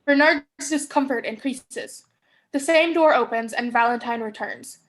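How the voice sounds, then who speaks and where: A woman's voice: a little high, measured pace. A young woman reading stage directions as scripted narration for a play. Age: young adult.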